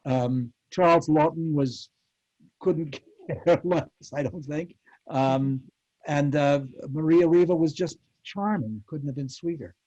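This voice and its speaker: Older male panel guest, mellow and slightly higher voiced, casual halting reminiscence, muffled online-call sound. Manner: halting, rambling storytelling. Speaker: panel guest, former child radio actor. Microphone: video call.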